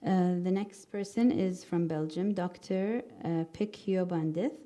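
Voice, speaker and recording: Woman moderator at a conference mic, mellow tone, stumbles through a scripted intro. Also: fairly low pitch. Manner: halting, many fillers and restarts. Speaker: panel moderator. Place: conference hall. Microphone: handheld microphone.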